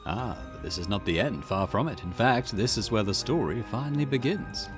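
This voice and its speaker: A man reading as a mysterious visual novel storyteller, formal, measured and dramatic. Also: lowish voice, lively intonation, music in the background, natural voice. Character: mysterious theatrical storyteller introducing a tale.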